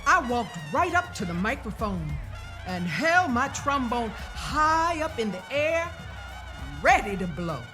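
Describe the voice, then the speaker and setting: A woman reading a children's story aloud in the first person, with deliberate, expressive storybook delivery. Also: fairly high pitch. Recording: music playing behind the voice. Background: music.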